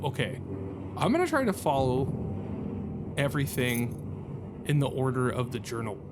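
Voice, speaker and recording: A man's voice: mid-range voice, noisy background. A man giving casual let's play commentary on a video game, thinking aloud in a hesitant, self-questioning, rambling way.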